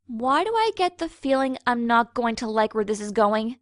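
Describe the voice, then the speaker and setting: A young pony princess voiced by a woman from a cartoon fan drama, high-voiced and very expressive. She sounds earnest yet exasperated, questioning everything with incredulity. The recording is dry and close. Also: moderate pace, dry recording, close to an everyday voice. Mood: incredulous. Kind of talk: fan fiction audio drama acting.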